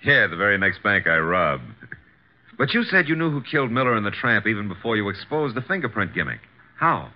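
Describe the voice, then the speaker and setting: An actor playing a brash, breezy detective's assistant in a 1950s radio drama, talking in flippant slang. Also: male voice, fairly low pitch, sing-song, brisk. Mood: curious, flippant. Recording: noisy, dull vintage 1950s radio recording.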